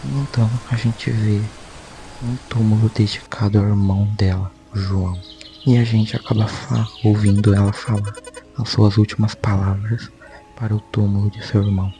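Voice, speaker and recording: A Brazilian man narrates a story recap in an informal, conversational way. His voice is low and mellow, with a fairly flat delivery. Soft music plays behind him as he talks. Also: moderate pace. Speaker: YouTube host.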